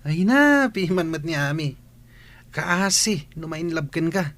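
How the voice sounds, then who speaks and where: Conniving teen schoolboy, swoopy animated pitch, teasing radio-play delivery. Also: male voice, a little slow, dry recording. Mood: mock pitying. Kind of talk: Ilocano radio drama acting.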